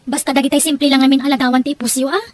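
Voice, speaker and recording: A very high-pitched, fast-talking young daughter in a radio-style drama acted by a woman. She nags and scolds, full of reproach and disapproval. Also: fairly even intonation, lightly acted. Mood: scolding. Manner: nagging, reproachful. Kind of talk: Ilocano audio drama dialogue.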